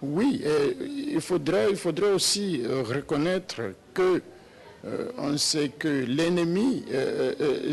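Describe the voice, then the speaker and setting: A man in his sixties from Nigeria, a papal nuncio, answering interview questions slowly with many hesitations and restarts. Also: a little high, creaky. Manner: slow and hesitant with many "euh" fillers and restarts. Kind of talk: slow, halting interview answers.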